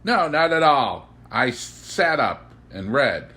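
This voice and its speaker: A man's voice: medium pitch, very animated, takes it slowly, pushed and bright, pitched above the performer's own voice, full character voice. A man acts out a precocious young boy from a storybook, sweet but brazen. The voice is lifted above the reader's usual talk and swings proudly, with emphatic, declamatory phrasing. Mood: gleefully confessing.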